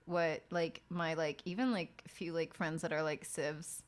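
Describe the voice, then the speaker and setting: A woman's voice: low-pitched, steady tone, unhurried. A woman streamer and podcast host, chatting casually and rambling with lots of fillers and swearing as she works late at night. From outside the United States. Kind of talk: casual late-night chat while working.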